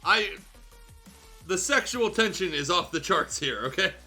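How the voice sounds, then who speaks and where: Guy reading a fanfic on stream, kinda high lively voice, super bright, sweary and theatrical, music in back. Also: unhurried, slightly rough. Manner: irreverent, sweary, theatrical storytelling. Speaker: guest host reading fan fiction aloud on a livestream show. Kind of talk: comedic dramatic reading with casual asides. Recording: music playing behind the voice.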